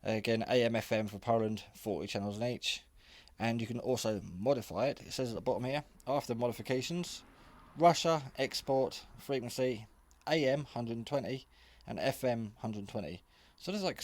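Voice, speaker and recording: A man's voice: medium pitch, normal speed, no room sound. A man giving a casual, unscripted hobby radio review in a rambling, matter-of-fact, modest way.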